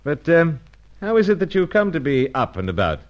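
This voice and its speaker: An actor playing an unflappable, wry secret agent and narrator in an old-fashioned radio spy serial, with clipped, polite speech. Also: male voice, a little high, sing-song, a little fast, highs cut off. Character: unflappable British secret agent, breezy and dryly cheeky.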